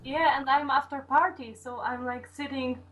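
A woman's voice: high voice, fairly even intonation, moderate pace, fairly bright tone, low-quality recording. A woman from Poland, chatting casually as a podcast guest. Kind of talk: casual chat.

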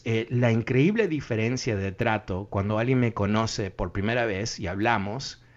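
A man hosting a radio talk show, giving earnest commentary and thinking aloud with many hesitations and fillers. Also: lowish voice. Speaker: radio host. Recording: muffled lo-fi radio broadcast.

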